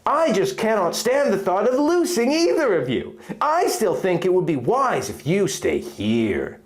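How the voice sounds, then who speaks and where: A man's voice: sits high, wildly swooping pitch, unhurried, pitched above the performer's own voice, heavily acted. A man voicing a protective, hot-tempered young hero in an emphatic, melodramatic story reading. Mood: tender, worried.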